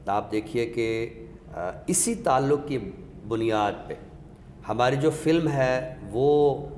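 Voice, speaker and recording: A man with a Pakistani accent giving a deliberate, didactic explanation in a literary discussion, pausing for emphasis. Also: medium pitch, expressive, a little mellow. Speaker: literary scholar and guest speaker. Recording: echoey reverberant room with background noise.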